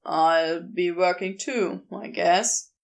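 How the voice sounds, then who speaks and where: A woman voicing an awkward, guarded man in an audiobook story, deadpan, curt and a little strained. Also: fairly low pitch, slow, creaky, voice pushed down. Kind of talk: fan fiction audiobook character voice.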